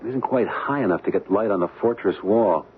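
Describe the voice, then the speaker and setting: Tinny old-radio actor as wary young American husband. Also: male voice, lowish voice, fairly quick, lightly acted. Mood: absorbed, upbeat. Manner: slangy, hesitant, repeating words. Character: wary, easygoing young American newlywed husband, amateur photographer. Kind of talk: old-time radio drama acting. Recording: tinny, band-limited vintage radio, hissy.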